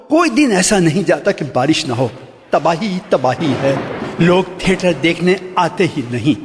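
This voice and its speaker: An actor playing a despairing, self-pitying theatre owner in a radio drama, lamenting with bitter irony and theatrical delivery. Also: male voice, fairly high pitch, slight background noise. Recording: archival radio, long reverb, faint music.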